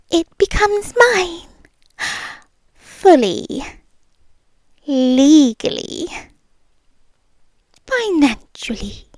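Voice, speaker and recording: Female actor as a British yandere heiress, obsessive and possessive, unhurried purring whispers. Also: high voice, dry recording, heavily acted. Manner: soft whispered, slow, purring menace. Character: possessive obsessive rich young woman captor. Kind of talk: ASMR roleplay.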